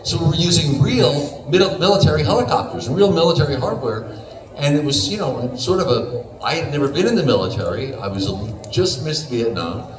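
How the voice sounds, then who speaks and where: Animated man telling stories on a panel. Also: on the high side, a little fast. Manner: animated storytelling with laughs and acted-out quotes. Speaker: convention panel guest, voice actor. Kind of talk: casual panel conversation. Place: convention panel room. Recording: roomy hall audio from a distance with noise.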